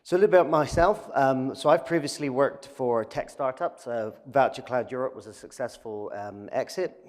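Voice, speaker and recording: A man's voice: mid-range voice, moderate pace, long reverb tail. A man with an English accent presenting his startup at a live event, talking conversationally with many fillers. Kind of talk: business pitch presentation.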